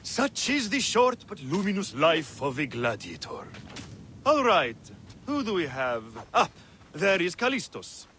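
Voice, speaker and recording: An actor playing a scornful, theatrical arena owner and fight promoter in a video game cutscene. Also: male voice, high voice, big pitch swings, a little slow, sharp tone, a little hiss or hum, exaggerated voice. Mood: sardonic showmanship. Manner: sneering, theatrical, insulting showman.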